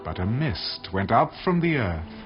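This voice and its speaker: A man narrating dramatized scripture in a solemn, measured manner. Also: fairly low pitch, sing-song. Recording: dull audio under loud music.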